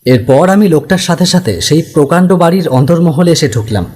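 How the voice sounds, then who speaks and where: A man giving scripted first-person narration for an animated story. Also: a little high, brisk, slightly rough. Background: music.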